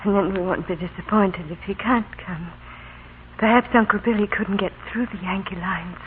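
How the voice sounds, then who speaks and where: An actress playing a gravely ill, gentle and resigned mother in an old-time radio drama. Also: medium pitch, normal speed, slight background noise, lightly acted. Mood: gentle, weary. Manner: gentle, frail. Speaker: radio actor. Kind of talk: 1930s radio drama acting. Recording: tinny old radio broadcast with loud music.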